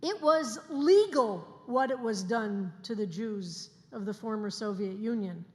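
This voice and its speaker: A woman's voice: mid-range voice, animated. Woman in her 60s preaching in a reverberant hall. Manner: earnest, slows down to stress key phrases. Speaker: rabbi. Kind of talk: sermon to a congregation. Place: synagogue sanctuary, livestreamed service.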